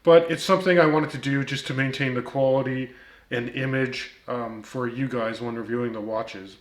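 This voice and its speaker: A man's voice: medium pitch, fairly even intonation, normal speed, roomy sound. A man with a slight Turkish accent, hosting a casual vlog and answering critics with wry comebacks and frequent ums and uhs. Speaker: watch reviewer, channel host.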